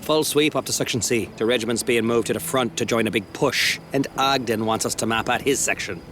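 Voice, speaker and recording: An actor playing a cocky, wisecracking First World War soldier in a game, with a Newfoundland accent and mocking delivery. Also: male voice, medium pitch, a little fast, a bit bright, slight background noise, lightly acted. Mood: wry. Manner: mocking, sarcastic banter. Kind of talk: dramatic game acting. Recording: music behind the dialogue.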